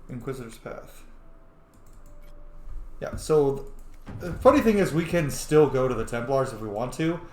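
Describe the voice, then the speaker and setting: A man gives casual playthrough commentary as he streams a game. His medium-pitched voice is animated and offhand. The room is echoey, with faint noise behind him. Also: moderate pace, pauses often.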